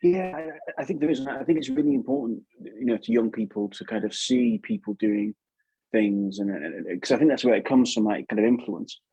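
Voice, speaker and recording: Husky, mellow English man. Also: mid-range voice, sing-song, a little fast, no room sound, dull audio. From England. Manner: enthusiastic, rambling, often repeats words. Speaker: puppeteer, podcast guest. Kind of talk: casual conversational interview.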